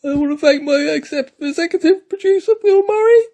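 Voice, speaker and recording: A woman's voice: high voice, a little fast, warm tone. A woman voicing a gushing, self-important award winner who falters through a speech. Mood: gushing, tearful gratitude.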